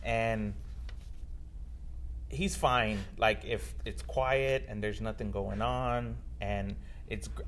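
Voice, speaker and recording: A man who covers sports, chatting loosely on a podcast, full of fillers and restarts, with a loud voice that carries. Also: on the low side, gravelly, a little hiss or hum. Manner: rambling, lots of fillers and restarts. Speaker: sports reporter. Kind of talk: casual podcast chat. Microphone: held far from mouth.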